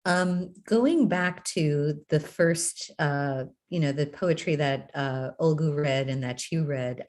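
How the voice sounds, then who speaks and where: Low-pitched female moderator; thoughtful, roundabout questioning. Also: darkish tone. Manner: long winding questions, rephrasing with "in other words". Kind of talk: conversational panel interview.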